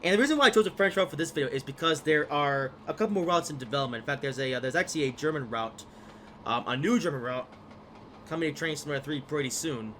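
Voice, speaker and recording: A man's voice: on the high side, lively intonation, fast, fairly bright tone, a little hiss or hum. A young man with a slightly stuffed-up voice from a cold, casually commenting on his gameplay with many fillers and trailing asides. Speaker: gaming video host.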